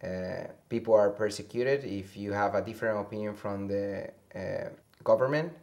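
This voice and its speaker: Low-voiced young Venezuelan man, halting. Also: gravelly, darkish tone. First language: Spanish. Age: young adult. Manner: halting, searching for words. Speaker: interview guest. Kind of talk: conversational interview answers. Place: indoor room with a fireplace, seated. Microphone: lapel mic.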